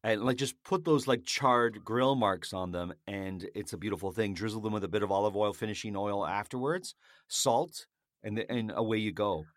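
A man's voice: fairly low pitch, normal speed, dry recording, clean studio sound. A man co-hosting a casual food chat, rambling happily through stories. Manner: chatty, rambling storyteller. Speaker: podcast co-host.

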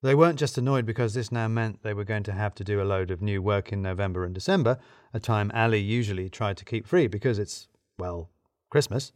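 A man reading a scripted comic mystery in the first person, with wry, theatrical emphasis and deliberate pauses. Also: on the low side, darkish tone, dry recording, clean studio sound. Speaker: audiobook reader narrating in first person as an office worker.

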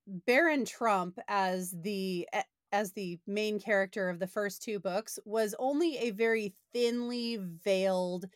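A woman's voice: mid-range voice, no room sound. Sardonic woman with a close, dry sound. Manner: opinionated, sardonic, uses profanity. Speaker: podcast panelist reviewing books. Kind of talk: casual discussion.

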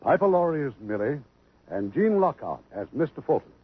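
A man reads a formal announcement in a polished style, with his voice rising and falling in lively swings. Behind him sits a dull, muffled broadcast with faint music. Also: on the high side, fairly quick, slightly rough.

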